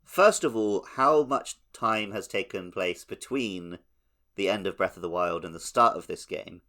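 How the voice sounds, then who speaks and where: A man's voice: deep voice, unhurried, pushed and bright. A man co-hosting a podcast, talking casually and conversationally about video games. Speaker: podcast co-host. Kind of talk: casual gaming podcast chat.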